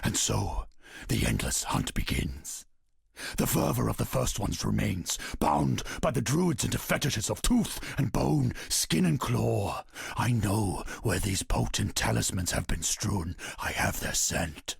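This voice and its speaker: A gravelly, brooding wolf king. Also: fairly low pitch, expressive, no room sound, studio quality, heavily acted. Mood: brooding, determined. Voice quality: gravelly, husky. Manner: solemn, slow, incantatory declamation. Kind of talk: dramatic video game monologue.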